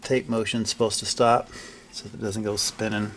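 An older man casually narrating a hands-on repair, thinking aloud in a halting, unscripted way. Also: on the low side, little pitch movement, a bit bright, a little hiss or hum. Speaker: hobbyist restorer presenting a repair video. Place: indoor basement-like home workshop.

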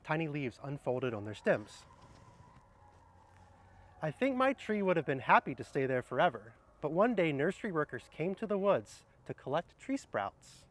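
A middle-aged man with a slightly higher-pitched voice shares children's picture books outdoors. He talks straight to the viewer in a gentle, explanatory manner, suited to reading aloud and casually recommending books. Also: big pitch swings, moderate pace. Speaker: horticulturist presenting children's books. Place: outdoors under trees in an arboretum garden.

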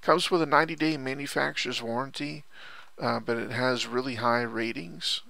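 A man's voice: mid-range voice, normal speed, creaky, pushed and bright, dry recording. A man giving casual, unscripted product commentary in a rambling, hesitant way, often repeating himself. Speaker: online product reviewer.

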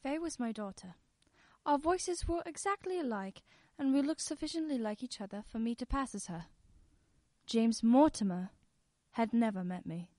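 A woman playing a resolute, vengeful middle-aged mother in an old-time radio play, speaking firmly and deliberately. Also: on the high side, expressive, dark tone, no echo, natural voice. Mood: grave, revealing. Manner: firm, deliberate, declarative.

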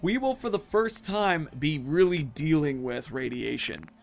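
A man's voice: a little high, sing-song, a little slow, creaky, faint music behind. A man in his thirties giving casual, playful gameplay commentary, rambling and talking to himself as he plays. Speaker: gaming video host.

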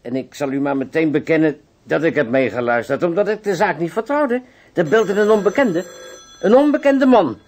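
A deferential clerk with swooping pitch. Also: male voice, lively intonation, normal speed, a little mellow. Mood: eager, deferential. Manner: obsequious, hesitant. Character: deferential, flustered clerk of a notary. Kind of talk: Dutch radio drama acting.

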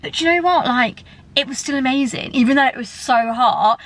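A woman's voice: high-pitched, fairly quick. A young woman chatting to the camera from inside a parked car, rambling and talkative with lots of fillers. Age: young adult. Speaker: vlogger. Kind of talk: casual chat to camera. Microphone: camera close up, held at arm's length.

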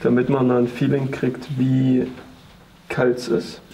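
A man's voice: medium pitch, monotone, a little slow, warm tone, a little hiss or hum, poor audio. A man giving casual, on-the-spot commentary as he explores inside a derelict building. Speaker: urban explorer and presenter.

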